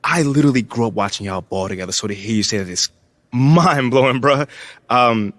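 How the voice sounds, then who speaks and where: An actor playing an eager, confident young American basketball rookie in a video game, talking in casual slang. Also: male voice, medium pitch, brisk, a bit bright, dry recording, natural voice. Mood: excited, grateful. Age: young adult. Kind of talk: naturalistic video game cutscene acting.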